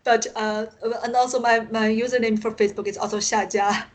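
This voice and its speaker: A woman's voice: on the high side, flat delivery, fairly quick. A woman with a Chinese accent, a native Mandarin speaker, talking casually as an interview guest and often searching for words. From China. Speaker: guest, science fiction writer.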